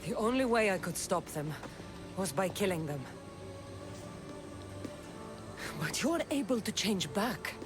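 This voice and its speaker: A woman's voice: medium pitch, expressive, normal speed, music in the background, natural voice. A woman voicing a tough, level-headed warrior woman in a video game, frank and firm in manner. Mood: grave, explaining. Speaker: investigator and fighter. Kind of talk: video game cutscene acting.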